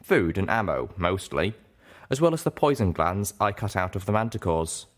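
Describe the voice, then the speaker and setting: A man narrating an audiobook as an earnest, self-doubting young mare, in a measured, deliberate way. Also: sits low, lively intonation. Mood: reflective. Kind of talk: scripted first-person audiobook narration.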